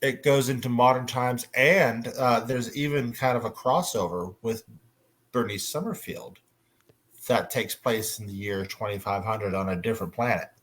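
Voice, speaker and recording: A man moderating a casual convention panel, chatting in a hesitant, pausing way. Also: mid-range voice, fairly bright tone.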